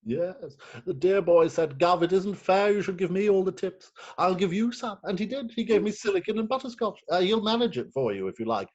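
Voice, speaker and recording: Man as genial Victorian stepfather, light high voice, animated, fond, hesitant, stage farce, muffled recording. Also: brisk, few pauses. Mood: genial, flustered. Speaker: actor in an amateur staged reading. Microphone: video call.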